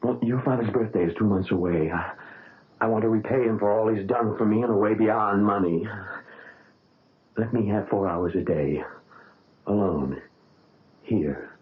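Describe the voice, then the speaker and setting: An actor playing an intense young pianist who speaks in halting, earnest stammers in a 1970s radio drama, on a tinny lo-fi broadcast. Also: male voice, on the low side, close to an everyday voice.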